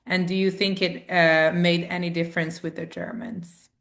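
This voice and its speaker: A woman's voice: on the low side, little pitch movement, normal speed, fairly bright tone. A woman moderating an online Q&A, thanking the speaker and reading out audience questions over a video call. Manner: reads out audience questions, polite thanks, light fillers. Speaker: event moderator.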